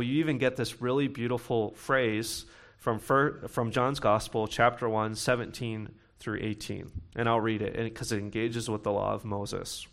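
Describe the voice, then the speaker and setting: A young man in his late twenties or thirties preaching a sermon to his congregation, talking conversationally and slowing down to read scripture. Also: mid-range voice, fairly bright tone. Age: young adult. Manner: conversational preaching with bursts of emphasis, reading scripture slowly. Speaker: pastor.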